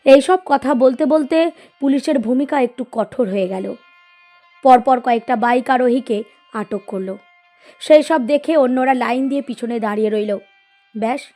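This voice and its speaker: A woman reading a scripted literary story as narrator, in an expressive storytelling style. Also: sits high. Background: music.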